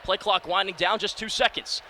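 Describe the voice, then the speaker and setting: Bright, high-pitched young male football announcer. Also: normal speed, gravelly. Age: early 20s. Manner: energetic, clipped narration. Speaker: play-by-play announcer. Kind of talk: live radio American football play-by-play commentary. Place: sports broadcast position inside a domed stadium. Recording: steady stadium noise behind. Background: stadium ambience.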